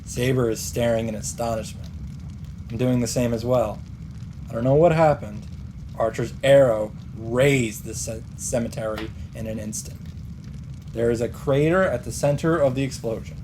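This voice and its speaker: A man with a mid-pitched voice reads story narration aloud for a game playthrough. He is deliberate and dramatic with his pauses, and clear background noise from game ambience sits behind him. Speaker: let's player narrator. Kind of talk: dramatic read-aloud of visual novel narration.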